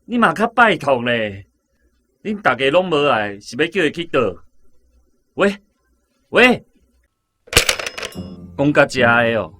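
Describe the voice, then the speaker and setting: An actor with a Taiwanese accent playing an exasperated army personnel officer who haggles and complains loudly in a radio drama. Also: male voice, a little high, very animated, slow, pauses often, music in the background. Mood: pleading.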